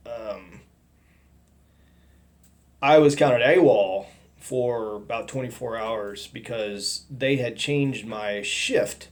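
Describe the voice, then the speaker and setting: A middle-aged man giving a personal testimony, talking in a halting, rambling way with many restarts and fillers. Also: mid-range voice. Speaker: testimony speaker.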